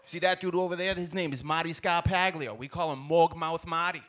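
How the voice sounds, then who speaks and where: Male stand-up, thick-of-Boston storyteller: bright, punchy, somewhat high voice, colloquial and exclamatory, live stage recording. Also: steady tone, fairly quick. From South Boston, United States. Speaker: stand-up comedian. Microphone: stage microphone at a live show. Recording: live stage recording with audible background noise.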